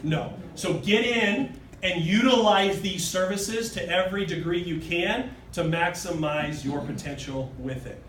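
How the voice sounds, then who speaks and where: A man in his thirties giving an emphatic sales pitch to a seated audience, often asking "okay?" and "true?", recorded from afar on a phone in a meeting room. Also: measured pace, a bit bright, a little hiss or hum. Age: 30s. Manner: emphatic, keeps asking "okay?" and "true?", gestures broadly while standing. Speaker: sales presenter. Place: hotel meeting room, indoors. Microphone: far phone camera in the audience. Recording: roomy, distant phone recording.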